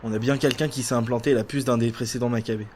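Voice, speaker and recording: A man's voice: mid-range voice, fairly even intonation, fast talker, a little mellow, slight background noise, lightly acted. A man playing a flippant colleague on an investigation in a French audio drama, casual and wry with loose, hesitant phrasing. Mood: wry, musing. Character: flippant, chatty male investigation colleague cracking dark jokes.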